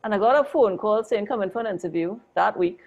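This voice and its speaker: A woman's voice: mid-range voice, quick pace. Chatty woman on a muffled call. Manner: chatty and animated, peppered with "like" and "oh my God". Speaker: interview guest, photographer. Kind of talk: casual storytelling interview.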